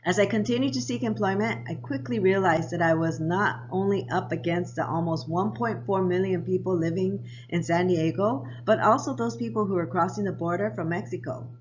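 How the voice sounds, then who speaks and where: A woman of around 60, raised in Japan and Hawaii, narrating her own life story for a vlog in a measured, read-aloud way. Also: on the low side, highs cut off. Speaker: vlogger. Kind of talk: scripted personal narration.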